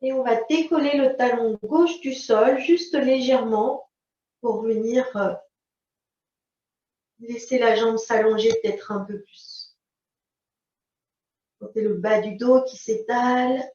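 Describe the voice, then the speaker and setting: A woman teaching yoga, giving calm, unhurried guidance in short phrases. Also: a little high. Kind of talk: guided yoga and relaxation instruction. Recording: roomy, distant mic, dull sound.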